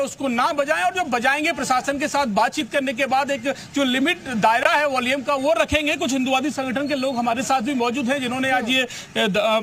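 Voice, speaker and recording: A man's voice: extremely high pitch, fairly bright tone. A man reporting live from an outdoor location for television news and questioning locals. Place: outdoors in front of a mosque.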